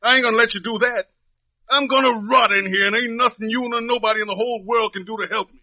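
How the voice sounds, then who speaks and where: An actor playing a wrongly jailed young drifter in a radio drama, with plain folksy, uneducated speech, pleading and resigned. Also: male voice, very high-pitched, steady tone, quick pace, pushed and bright, dry recording, exaggerated voice. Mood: anguished, pleading. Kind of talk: 1950s radio drama acting. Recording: dull lo-fi old radio recording.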